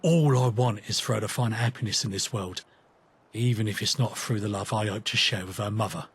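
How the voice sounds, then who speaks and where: An actor playing a conflicted, earnest British rebel in a video game, talking in a matey, reflective, colloquial way. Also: male voice, fairly low pitch, brisk, husky. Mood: wistful. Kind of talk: scripted video game companion dialogue.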